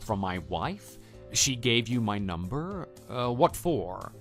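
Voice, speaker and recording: A man playing a level-headed, skeptical husband with a measured, hesitant delivery in an audio drama. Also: medium pitch, very animated, fairly bright tone, soft background music, full character voice. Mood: wary, impatient. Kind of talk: scripted texting-story radio drama.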